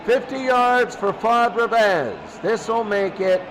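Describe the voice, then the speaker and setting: A male sports announcer calls football play by play in a high-pitched voice at an unhurried pace. He speaks in short, clipped phrases and repeats them for emphasis. Loud background noise rumbles behind him. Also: low-quality recording. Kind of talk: American football play-by-play commentary.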